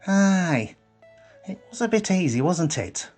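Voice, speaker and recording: A man voicing a touchy, quick-thinking lad in a story. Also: fairly high pitch, very animated, breathy edge, faint music behind, pitched above the performer's own voice, full character voice. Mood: agreeable. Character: Harry, touchy, quick-thinking lad, son of stern mother.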